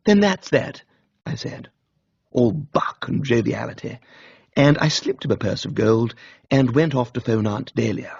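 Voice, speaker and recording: Male narrator, posh English, husky and gravelly, droll comic novel reading. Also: mid-range voice, measured pace, darkish tone, no echo, highs cut off. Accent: upper-class English. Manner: droll, blithe storytelling. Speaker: narrator, reader.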